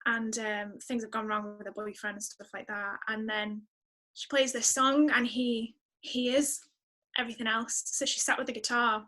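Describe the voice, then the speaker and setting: A woman's voice: fairly high pitch, flat delivery, normal speed, pushed and bright. A woman chatting casually as a guest, emphatic and thinking aloud as she goes. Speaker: podcast guest, singer-songwriter. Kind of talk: casual chat.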